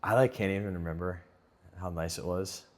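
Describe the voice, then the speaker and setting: Man co-host, thirties, lowish voice, going hoarse, relaxed joker, reverberant room. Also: a little fast. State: losing his voice. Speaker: podcast co-host, gym owner. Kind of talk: casual chat.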